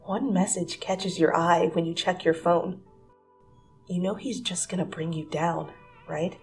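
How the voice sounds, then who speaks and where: A woman giving a dramatic second-person story reading, swinging from hushed whispers to breathless, excited rises. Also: on the low side, unhurried, faint music behind. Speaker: fanfiction reader.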